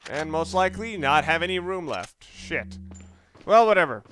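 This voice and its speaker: A man's voice: on the high side, lively intonation, normal speed, a bit bright, a little hiss or hum. A man doing casual, rambling video game commentary, informal and opinionated, with some mild swearing. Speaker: let's play commentator.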